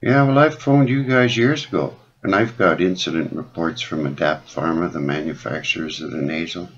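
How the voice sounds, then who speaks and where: A very low-voiced man speaks as a caller pressing his concerns in an informal way. He is insistent and rambles, on a muffled, lo-fi phone recording. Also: moderate pace. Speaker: caller making a complaint. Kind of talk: phone call, informal advocacy talk. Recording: dull lo-fi phone call recording.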